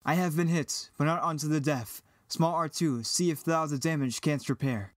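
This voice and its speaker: A man's voice: a little high, normal speed, no room sound, studio quality, lightly acted. A man playing a brave, earnest young rebel pilot, declaiming Shakespearean verse in an audio drama. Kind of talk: dramatic reading in Elizabethan verse.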